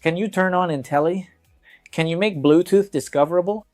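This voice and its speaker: A man's voice: high voice, a little fast, darkish tone, soft background music. A man reviewing tech gadgets in a casual walkthrough, talking informally and directly to viewers.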